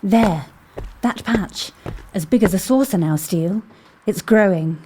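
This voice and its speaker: A woman acting in an audio drama as a calm, intuitive investigator with a polite, measured, composed way of speaking. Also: medium pitch, slight background noise, close to an everyday voice. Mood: reassuring, watchful.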